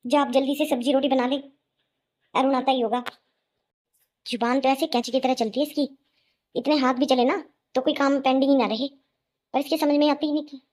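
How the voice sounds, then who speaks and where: Hectoring cartoon mother-in-law, middle-aged, high and soft-edged, acted by a woman. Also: fairly even intonation, a little fast, long pauses. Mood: scolding, irritated. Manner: sarcastic, accusing, hectoring. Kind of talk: melodramatic Hindi cartoon voice acting.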